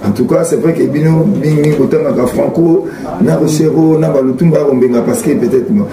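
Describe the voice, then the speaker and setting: A middle-aged man from Congo, answering interview questions in a halting, earnest way with many fillers and repeats. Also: a little high, a crowd in the background. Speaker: singer guest. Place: small indoor studio room. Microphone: handheld mic on a stand. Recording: roomy sound with ambient noise.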